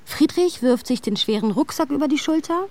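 An actress playing a reflective, wry first-person narrator in a scripted literary radio play. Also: female voice, high-pitched, expressive, brisk, darkish tone, faint music behind. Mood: musing.

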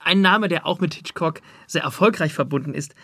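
A man's voice: fairly high pitch, a little fast, bright, forceful tone, dry recording, clean studio sound. A man hosting an interview with prepared moderation. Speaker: interviewer and host.

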